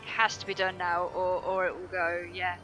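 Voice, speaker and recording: Bright-voiced chatty woman. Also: normal speed, soft background music. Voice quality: higher-pitched than she expects herself to sound. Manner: chatty and animated, acting out quoted lines. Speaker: co-host on a charity stream. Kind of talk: casual chat while playing a game.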